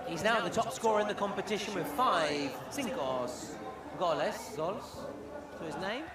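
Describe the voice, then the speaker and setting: A man's voice: high voice, expressive, unhurried, over loud music. A male co-commentator offering easygoing, self-deprecating color commentary on a football match. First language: English. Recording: echoey arena, distant mic, loud crowd. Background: loud crowd.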